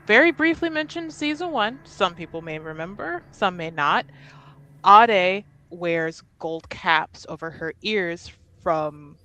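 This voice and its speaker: A woman playing a tabletop roleplaying game, narrating her character in an expressive, informal way. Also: on the low side, low-quality recording.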